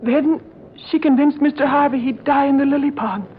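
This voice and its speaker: A superstitious servant woman, played by an actress in a vintage radio horror play. Her voice sits fairly high and comes out breathy and fearful, in halting, deferential phrases. The recording is muffled, with clear noise behind her. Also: natural voice. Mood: grave, fearful. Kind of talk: old radio horror drama acting.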